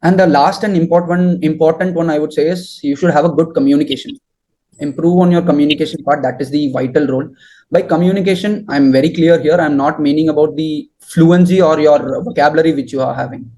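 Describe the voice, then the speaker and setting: A young man answering interview questions and giving advice in halting, deliberate phrases. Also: on the high side, fairly even intonation, warm tone, poor audio. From southern India.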